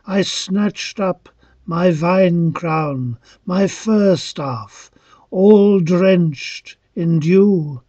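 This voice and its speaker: A man reciting dramatic poetry as an awed, devout young reveller of ancient Greece, solemn and declamatory in halting phrases. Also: high voice, darkish tone, dry recording. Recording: dull lo-fi, dry close recording.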